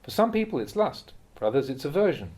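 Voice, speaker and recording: Man reading spiritual teachings, measured delivery. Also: on the high side, sing-song, a little mellow. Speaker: reader of translated Buddhist teachings. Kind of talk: audiobook-style reading of spiritual talks.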